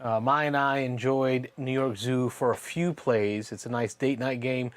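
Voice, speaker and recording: Male live-play host, relaxed conversational delivery, moves between game talk and viewer chat. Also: medium pitch, unhurried. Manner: reads out viewer comments and chats back. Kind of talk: casual chat during board game play.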